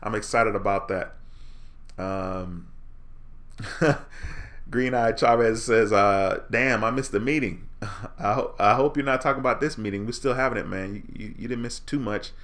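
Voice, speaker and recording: Relaxed low-voiced man hosting a stream. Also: moderate pace. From Jamaica. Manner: relaxed, friendly, reads viewer comments aloud and answers. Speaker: live stream host. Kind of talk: casual live-stream chat reading comments.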